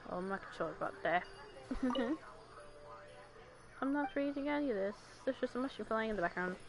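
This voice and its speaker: A woman's voice: on the high side, lively intonation, moderate pace, over music. A young woman giving casual commentary while playing a video game. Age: teenager. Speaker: gamer streaming a playthrough. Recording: loud noise and game sound behind.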